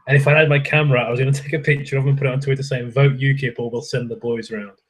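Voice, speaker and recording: A man's voice: medium pitch, little pitch movement, very fast, slight background noise. A young man, chatting casually with viewers on a stream, ranting and swearing with frequent laughs. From England.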